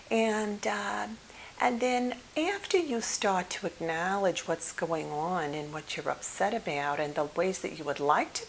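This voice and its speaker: A caring female psychotherapist, played by a woman in an ASMR roleplay. Her voice is soft, slightly low and often drops to a whisper. She talks very slowly, with hesitant, reassuring pauses, over a light hiss. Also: exaggerated voice, low-quality recording. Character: gentle, caring woman psychotherapist counseling a fairy client. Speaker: ASMR performer.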